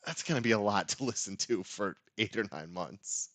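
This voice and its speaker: A man's voice: fairly low pitch, expressive, moderate pace, fairly bright tone, dry recording. A man hosting a casual tabletop gaming talk show. He speaks in a loose, rambling way and laughs often. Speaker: podcast host. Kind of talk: casual chat.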